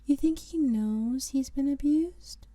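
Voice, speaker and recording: Woman acting a dark-toned, proud, sarcastic girl. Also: sits high, slow pace. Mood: pensive. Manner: sarcastic, self-assured. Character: proud, sarcastic young female student huntress. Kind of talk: fan audio drama acting.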